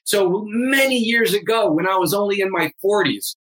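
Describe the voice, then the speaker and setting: A man's voice: high voice, expressive, moderate pace, a bit bright, rough recording. A man in his fifties, a fitness coach, talking emphatically and energetically as a guest on a remote interview. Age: 50s. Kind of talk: energetic motivational interview talk.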